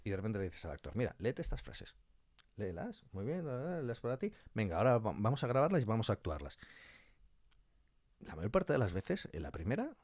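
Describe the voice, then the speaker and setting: Man, low voice, emphatic conversational guest, very dry recording. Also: big pitch swings, fairly quick, darkish tone, dry recording. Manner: colloquial and emphatic, repeating key phrases and voicing hypothetical quotes. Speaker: interview guest, audio fiction director. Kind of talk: conversational interview answers.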